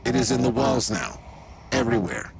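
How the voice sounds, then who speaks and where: A man playing an eerie, corrupted servant in a video game, muttering in gravelly, halting fragments. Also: mid-range voice, noisy background, close to an everyday voice. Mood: haunted, ominous.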